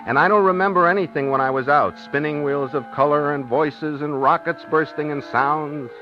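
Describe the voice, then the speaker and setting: A man's voice: fairly high pitch, moderate pace, faint music behind, close to an everyday voice. An actor playing a tense, defiant man held at gunpoint, who also narrates in terse slang, in 1950s radio thriller style. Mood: wry, recounting. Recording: dull, noisy 1950s radio recording.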